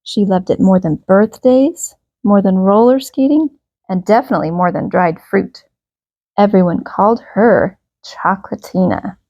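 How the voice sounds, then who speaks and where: A woman reading a children's bedtime story aloud, expressively and with emphatic, drawn-out phrases. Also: medium pitch, mellow, dry recording, highs cut off.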